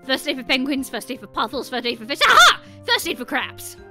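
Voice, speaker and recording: Woman as a flustered, kindly scientist penguin, high-voiced. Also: sing-song, a little fast, sharp tone, faint music behind, full character voice. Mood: helpful, earnest. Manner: polite, old-fashioned, flustered. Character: kindly, fretful male scientist penguin, fatherly gadget inventor.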